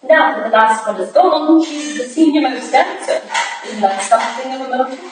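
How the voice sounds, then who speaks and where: Victorian society wife female actor, high-pitched, firm, shrewd and unafraid, theatrical, very echoey distant live recording. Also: moderate pace, lightly acted. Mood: shrewd curiosity. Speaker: actor in a staged reading. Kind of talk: theatrical staged reading. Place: roomy hall at a live reading. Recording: echoey room from far off, faint noise.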